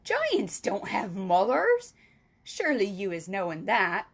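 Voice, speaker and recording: Kindly ancient giant, played by a woman reader: loud, unhurried, grandly mangled grammar, pitch rising and falling a lot. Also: huge pitch swings, gravelly, breathy edge, exaggerated voice. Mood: emphatic. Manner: booming, drawn-out, mangled words. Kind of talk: storybook reading.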